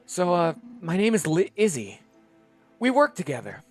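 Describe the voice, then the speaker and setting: A man's voice: high-pitched, huge pitch swings, normal speed, faint music behind, voice pushed up. Man voicing a bubbly young woman doctor. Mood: chiding. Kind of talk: one-man voice acting.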